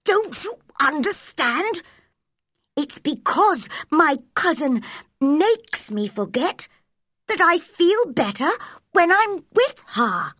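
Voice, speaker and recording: A woman playing a sickly young boy in a storybook, by turns pleading, imperious and excitable, with a breathy delivery. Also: sits high, slow pace, long pauses, no room sound, clean studio sound, pitched above the performer's own voice, exaggerated voice. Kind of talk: storybook character reading.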